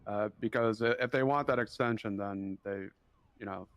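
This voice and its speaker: A man's voice: fairly low pitch, moderate pace, clear, resonant tone, no echo, lightly acted. A man with a Midwestern American accent, playing a patient, apologetic government official in improvised game role-play, with a hesitant, conciliatory manner. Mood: patient, weary. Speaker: role-player in an online game.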